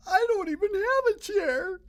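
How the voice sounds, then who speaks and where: A woman voicing an excitable little panda child in a storybook reading. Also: extremely high pitch, measured pace, pitched above the performer's own voice. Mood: wailing, upset.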